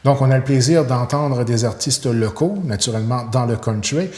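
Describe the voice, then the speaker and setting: A man's voice: medium pitch, normal speed, a little mellow, reverberant. A man hosting a TV interview, asking long, affirming questions filled with euh and là. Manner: wordy, affirming, fills with euh and là. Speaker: TV interviewer, host.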